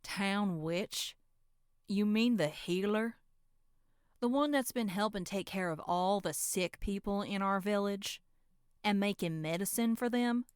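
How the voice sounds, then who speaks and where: A woman's voice: medium pitch, a little slow, smooth, no room sound, clean studio sound, lightly acted. A woman playing an exasperated, level-headed villager with a folksy, sarcastic manner in a horror roleplay audio drama. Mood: scornful.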